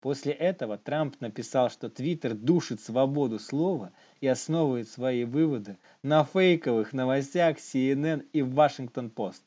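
Young man, podcast co-host, informal slangy speech, chuckly delivery, medium pitch, muffled lo-fi sound. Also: normal speed, few pauses, dark tone. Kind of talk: casual chat about the news.

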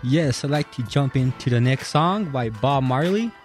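A man's voice: medium pitch, animated, normal speed, soft background music. A man introducing songs on the radio in a casual way. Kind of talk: song introductions.

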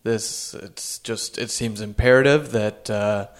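A man playing an evasive undercover cop posing as a party druggie in an improvised comedy radio play, talking in a halting, hedging way. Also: fairly low pitch, fairly even intonation.

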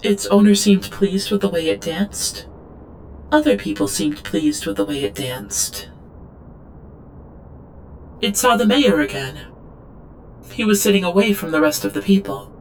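A woman acts out an obedient female drone in a cyberpunk audio drama. Her creaky voice delivers stilted, clinical reports as if logging data, over a faint background rumble. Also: sing-song, unhurried, pauses often, a little mellow, poor audio. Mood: clinical, detached. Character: obedient female servant drone, clinical, masochistic, learning emotion. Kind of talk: scripted audio drama monologue.

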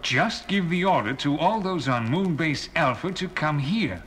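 A man's voice: fairly high pitch, lively intonation, measured pace, fairly bright tone. Formal, imperious male alien leader. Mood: coolly menacing. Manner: formal, precise diction. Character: cold, scheming male alien survivor leader, articulate and imperious. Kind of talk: children's audio drama record acting. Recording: vintage LP drama with faint music.

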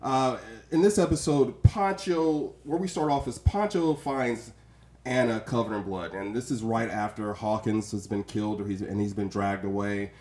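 Podcast co-host: man, dark mellow tone, casual film chat, noticeably roomy sound. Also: lowish voice, moderate pace. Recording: roomy, echoey room sound.

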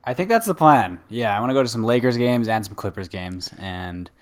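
A man's voice: lowish voice, animated, a little fast. A man co-hosting a podcast in casual chat, offhand and indifferent in his way of talking. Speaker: podcast co-host.

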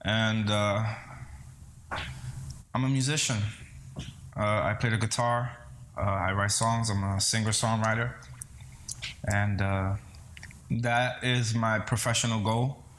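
A man's voice: fairly low pitch, fairly even intonation, takes it slowly, long pauses, fairly bright tone, slight background noise. A man from the Bronx, talking casually and earnestly in an interview, hesitating and repeating words. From Bronx, New York City, early childhood in the Dominican Republic. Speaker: interview guest, singer-songwriter.

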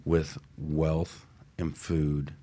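A man with a deep, slightly rough voice speaks as a panelist on a talk show. He talks in a casual, earnest, motivational way and often checks that listeners follow him. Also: flat delivery, extremely slow, dark tone.

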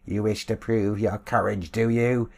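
A man's voice: a bass voice, flat delivery, normal speed, gravelly, slightly rough, full character voice. A man voicing a cruel male leader of a street gang with a sneering manner. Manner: sneering, mocking.

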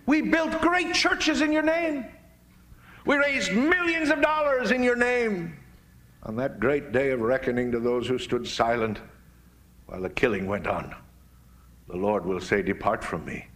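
An American man preaching in a fiery oratorical style, emphatic and solemn, with deliberate dramatic phrasing. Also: on the high side, a bit bright. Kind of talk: fiery oratorical sermon speech.

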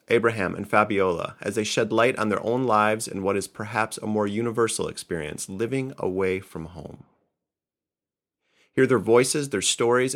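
A man introducing the podcast episode in a measured, scripted way. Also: lowish voice, fairly bright tone. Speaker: podcast host.

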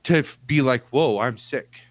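A man in relaxed podcast talk with a mid-range voice. He is emphatic and loose, swears casually and leans on fillers. The recording is dry and up close. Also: medium pitch, normal speed, dry recording. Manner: emphatic, swears casually, hedges with "like" and "you know". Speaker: podcast co-host. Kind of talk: casual chat.

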